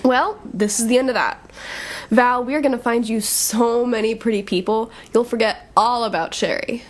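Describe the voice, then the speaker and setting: A woman's voice: fairly high pitch, moderate pace, close to an everyday voice. A young woman playing a brash, sarcastic college-age thief in an audio drama, speaking in breezy, slangy banter. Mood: breezy, joking. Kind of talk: audio drama dialogue, casual and conversational.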